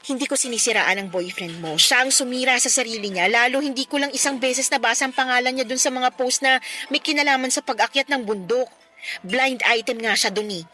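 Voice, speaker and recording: A woman's voice: high voice, a little fast, bright, forceful tone. An actress playing a concerned best friend in a radio drama, earnest and insistent as she explains and justifies herself. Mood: explaining, defensive. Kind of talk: Filipino radio drama acting.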